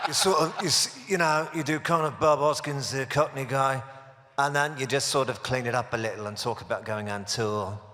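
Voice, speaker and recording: A man's voice: mid-range voice, normal speed, pushed and bright, a little hiss or hum. A man, a voice actor, chatting casually about recording and character voices. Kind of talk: casual panel chat. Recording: long hall reverb.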